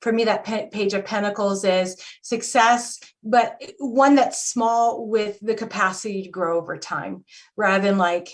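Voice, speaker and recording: Brief: female guest tarot reader on a video call, measured and explanatory. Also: mid-range voice. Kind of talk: casual chat and card reading.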